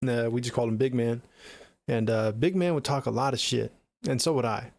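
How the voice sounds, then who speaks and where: A man's voice: medium pitch, steady tone, fairly quick, vocal fry, darkish tone, no room sound. A middle-aged man with an American accent chatting casually on a livestream in a laid-back, slangy way, close to the mic. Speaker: music production livestreamer. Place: small home studio room, indoors.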